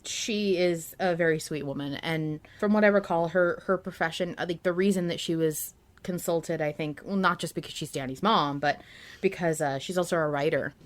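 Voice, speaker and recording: A woman with a somewhat low voice co-hosts a fan podcast. She talks casually and expressively, in a chatty, conversational way. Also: normal speed. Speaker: podcast co-host.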